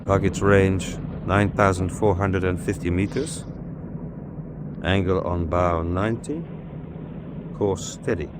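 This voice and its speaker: Cool submarine first officer, low voice, measured, precise, formal, quietly skeptical, radio drama, noisy background. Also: male voice. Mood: precise, focused. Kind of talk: old-time radio war drama acting.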